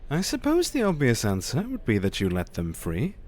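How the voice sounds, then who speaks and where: A man's voice: mid-range voice, wildly swooping pitch, darkish tone, a little hiss or hum. A man playing an earnest, lovelorn young doctor. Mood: probing. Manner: earnest, formal and measured. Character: lovelorn young male doctor keeping a diary. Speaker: asylum doctor and narrator. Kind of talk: audio drama narration.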